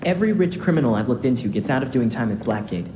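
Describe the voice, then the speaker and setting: Casting: blunt game vigilante, extremely low voice, probing and skeptical, long reverb around it. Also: female voice, animated, fast talker. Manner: blunt, challenging. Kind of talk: cinematic video game acting. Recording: long hall reverb, background noise. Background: noisy ambience.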